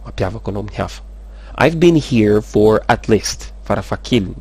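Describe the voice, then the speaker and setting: A man teaching English, saying model sentences slowly and switching quickly into rapid explanations. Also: lowish voice, lively intonation, darkish tone, husky. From Madagascar. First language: Malagasy. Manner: slow, careful model sentences between fast explanations. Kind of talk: language lesson, explaining in Malagasy and French.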